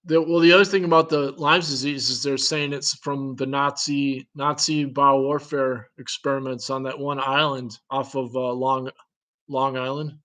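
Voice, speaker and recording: A man's voice: medium pitch, little pitch movement, moderate pace, pushed and bright. A man in casual conversation who thinks aloud, asks curious questions and chuckles between remarks. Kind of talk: casual chat.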